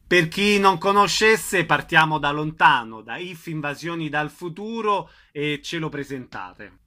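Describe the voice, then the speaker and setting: Bright-voiced male radio host. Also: on the high side, animated, measured pace. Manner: formal, announcing and prompting questions. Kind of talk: radio interview hosting.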